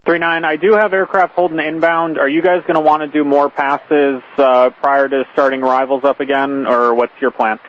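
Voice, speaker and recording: Muffled radio, even-toned American man. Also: a little high, moderate pace, hardly any pauses. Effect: radio transmission. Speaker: airport tower air traffic controller. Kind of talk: operational radio coordination. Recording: muffled, faintly noisy radio channel.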